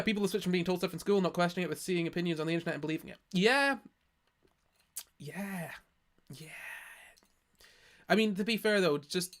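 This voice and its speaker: A young man from Scotland with a Scottish accent softened by years in England, casually chatting on a live stream and reading his chat, rambling and restarting his sentences often. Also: on the high side. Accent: Scottish, softened by living in England. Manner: rambling, self-correcting, lots of restarts.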